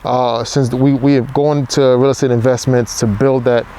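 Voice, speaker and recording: Relaxed, mellow-voiced middle-aged man. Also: mid-range voice, normal speed, a little hiss or hum. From born in Haiti, raised in New York. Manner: relaxed, steady, with frequent fillers. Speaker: podcast co-host. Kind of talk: casual chat.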